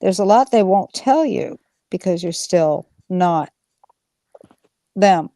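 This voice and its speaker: An older woman from the United States, chatting on a livestream panel and telling stories in a reflective way, with gentle advice. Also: lowish voice, expressive, slow, no echo. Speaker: panel co-host. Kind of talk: casual livestream panel chat.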